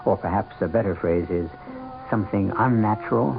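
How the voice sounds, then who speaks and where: Ominous, gravelly male radio host. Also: fairly low pitch. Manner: ominous, measured, theatrical. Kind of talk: dramatic scripted narration. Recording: tinny old radio broadcast. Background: suspense music.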